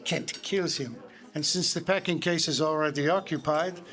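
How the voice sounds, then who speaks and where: An actor playing a courtly, self-satisfied Belgian detective with a French-speaking accent, explaining precisely in a theatrical live mystery drama. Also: male voice, on the high side, normal speed, a bit bright. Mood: confident deduction. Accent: French-speaking Belgian, put on. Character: Belgian detective, courtly, pompous, confident man. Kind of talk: live radio-style mystery drama performance. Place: public library live stage before an audience.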